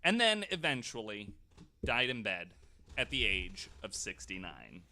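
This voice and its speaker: A man's voice: lowish voice, big pitch swings, a little slow, sharp tone, a little hiss or hum. A man hosting a podcast, telling a researched story in a casual, jokey, informal way. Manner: informal, swears, jokey asides.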